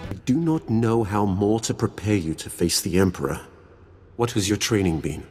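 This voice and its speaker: An actor playing an ancient, proud Sith lord in a video game, speaking in a formal, solemn and disdainful manner. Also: male voice, lowish voice, animated, moderate pace, warm tone, faint music behind, close to an everyday voice. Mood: scornful. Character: ancient, grim, proud Sith lord, three centuries old. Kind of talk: dramatic video game voice acting.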